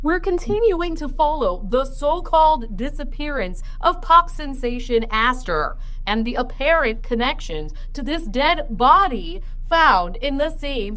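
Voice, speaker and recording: An actress playing a sensationalist true-crime TV host who lingers dramatically on lurid emphasis. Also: female voice, high-pitched, a bit bright, full character voice, low-quality recording. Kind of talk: tabloid broadcast delivery.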